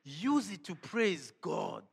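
A man preaching a sermon with lively energy, laughing and firing questions at the congregation. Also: sits high, slow pace, creaky.

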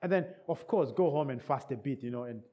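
A man's voice: medium pitch, brisk, dark tone. A man preaching a sermon in a church service in England, English not his first language, repeating key words and checking in with the listeners.

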